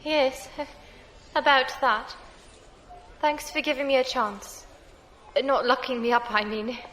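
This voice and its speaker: A woman playing a self-doubting ex-pirate runaway in a science fiction audio drama, with a timid, halting delivery. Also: high-pitched, a bit bright, slight background noise. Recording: music bed behind the voice.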